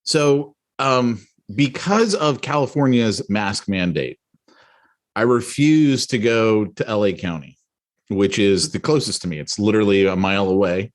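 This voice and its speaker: A middle-aged man co-hosting a podcast, telling a story in a rambling, animated way with many restarts and asides. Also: on the low side, unhurried. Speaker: podcast co-host. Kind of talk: casual storytelling chat.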